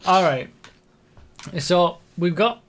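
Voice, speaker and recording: A man's voice: sits high, slow pace, a bit bright. A man hosting a podcast, asking questions casually with many restarts and repeated words. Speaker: podcast host. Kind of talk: casual chat.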